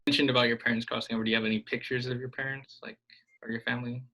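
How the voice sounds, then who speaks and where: A young man asking interview questions over a video call in a relaxed, friendly way. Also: mid-range voice, racing, low-quality recording. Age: young adult. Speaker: interviewer, student.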